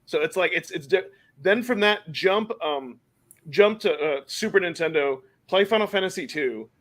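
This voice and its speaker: A man's voice: on the high side, animated, moderate pace, a bit bright. A man hosting a podcast, talking casually and rambling, often restarting his sentences. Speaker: podcast host. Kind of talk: casual chat.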